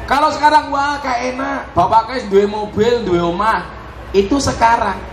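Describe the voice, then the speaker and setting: Joking Javanese-accented preacher in echoey hall. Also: male voice, very high-pitched, moderate pace. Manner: joking, crowd-teasing, mixes Javanese slang. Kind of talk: humorous Islamic sermon to a live crowd. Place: large event hall with live audience. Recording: echoey hall, loud crowd noise.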